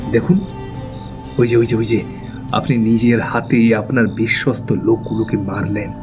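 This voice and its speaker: A man's voice: fairly low pitch, little pitch movement, normal speed, music in the background, natural voice. Man acting a cold, mock-polite young avenger. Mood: cold accusation. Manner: controlled, mock-polite, menacing. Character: cold, taunting young avenger of his dead father. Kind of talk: dramatic audio thriller acting.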